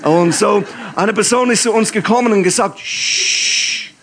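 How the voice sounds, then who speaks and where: A man from England, a guest pastor, preaching in German with an English accent, lively and halting with fillers. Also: sits high, crowd noise. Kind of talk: sermon.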